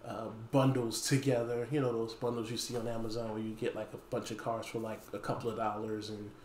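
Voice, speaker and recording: A man in his thirties talking casually to camera as a store owner making an announcement, pausing often mid-phrase. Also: lowish voice, level tone, darkish tone. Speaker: small game store owner and video host. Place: dim indoor room with wooden floor. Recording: echoey room, faint background noise.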